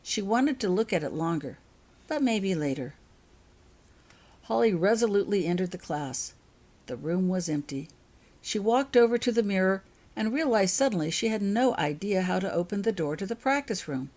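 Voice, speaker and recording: A woman's voice: mid-range voice, lively intonation, moderate pace, a little mellow. A woman reading her own fiction aloud as an audiobook-style story narration.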